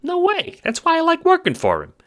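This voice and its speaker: Excitable squeaky little minion guy. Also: fairly quick, full character voice. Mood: cheerful boasting. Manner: excitable, slangy, boyish. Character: cheerful, airheaded, nervous little male minion creature. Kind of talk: fan audio drama character acting.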